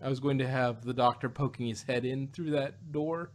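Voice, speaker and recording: A man casually discussing an audio drama as a review host, in a halting, rambling way. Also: mid-range voice, a little hiss or hum.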